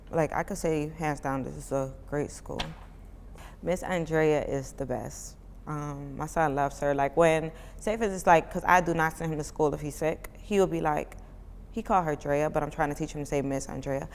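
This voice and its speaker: Low-voiced young woman in a reverberant room. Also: normal speed, slight background noise. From Miami. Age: 20s. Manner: chatty, many "like" fillers, relaxed informal speech. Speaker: parent giving a testimonial. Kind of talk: casual interview answers.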